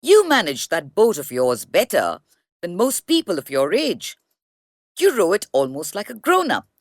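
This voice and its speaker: A woman voicing a commanding, kindly and important man in a children's storybook, speaking in a measured, authoritative way. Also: fairly low pitch, wildly swooping pitch, no echo, studio quality. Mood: approving. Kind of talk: children's storybook read-aloud.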